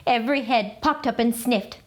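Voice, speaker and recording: A woman reading a children's picture book aloud, telling the story in an animated, theatrical way. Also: fairly high pitch, moderate pace.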